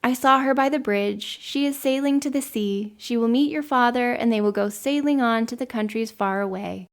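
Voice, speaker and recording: A woman's voice: a little high, normal speed, natural voice. A woman voicing a strange, teasing village girl who half speaks and half sings. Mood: eerie.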